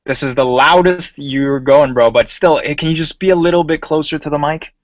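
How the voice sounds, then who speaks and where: A man runs a casual voice-chat storytelling session, slangy and easygoing, and keeps calling the guests bro. His voice sits a little high. The sound is close and dry, with no room echo. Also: fairly quick, lo-fi sound. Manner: relaxed and slangy, calls people bro. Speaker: host.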